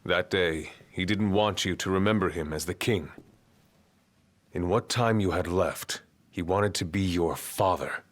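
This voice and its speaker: A man playing a stern, composed royal guard and mentor in a video game, speaking in a measured, formal, authoritative way. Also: low-pitched. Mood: grave, steady. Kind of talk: video game cutscene acting.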